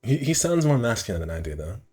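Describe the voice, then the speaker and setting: A man streaming a visual novel, reading the narration aloud in a halting, casual way with stumbles and asides. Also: mid-range voice, sing-song, warm tone, breathy edge.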